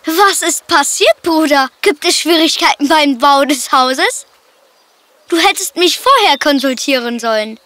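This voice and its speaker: A woman's voice: high-pitched, animated, slow pace, sharp tone, heavily acted. A woman voicing a cocky, carefree young male pig with a boastful, breezy manner in a children's fairy-tale cartoon.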